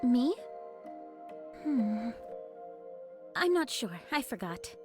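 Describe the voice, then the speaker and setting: A woman's voice: on the high side, very animated, extremely slow, music in the background, heavily acted. An actress playing a spirited, determined female squad leader in an anime game, earnest and slightly formal. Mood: wistful. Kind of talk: scripted anime game voice acting.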